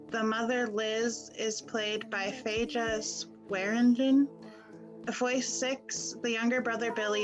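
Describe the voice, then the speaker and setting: A woman's voice: fairly high pitch, close to an everyday voice, rough recording. A woman acting as the narrator of a radio play, reading scripted scene-setting narration.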